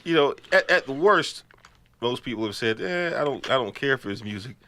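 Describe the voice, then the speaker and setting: A man's voice: mid-range voice, lively intonation, a little fast, pushed and bright. A man co-hosting a podcast in casual, comic chat, riffing and acting out little scenes. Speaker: podcast co-host.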